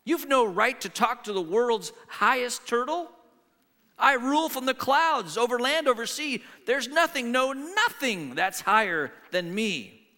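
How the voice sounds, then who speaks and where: A man's voice: very high-pitched, lively intonation, unhurried, sharp tone, heavily acted. A man voicing a pompous, boastful turtle king who bellows out commands in a storybook reading, in a hall with long reverb. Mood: angry, boastful.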